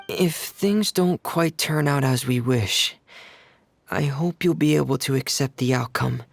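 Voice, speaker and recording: A man voicing a gentle, reserved teenage boy in a video game, hesitant, soft-spoken and careful. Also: medium pitch, vocal fry, dry recording. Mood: hesitant, somber.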